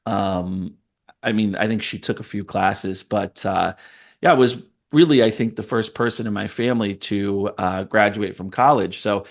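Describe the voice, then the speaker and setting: A man's voice: on the low side, moderate pace. A man in his forties hosting a podcast, talking in a thoughtful, rambling, storytelling way. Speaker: podcast host. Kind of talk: casual conversation.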